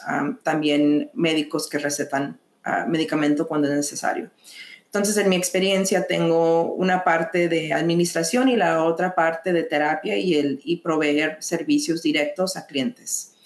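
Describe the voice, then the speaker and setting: Mexican lady giving a training talk, kinda low voice, lots of ums, echoey room. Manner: measured, with frequent hesitations and restarts. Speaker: mental health counselor and presenter. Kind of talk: educational webinar presentation.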